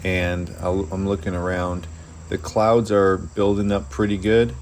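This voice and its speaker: A man's voice: low-pitched, flat delivery, measured pace, heavy background noise. A man telling a first-person story in plainspoken, dramatic present-tense phrases. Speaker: diesel mechanic telling his own story.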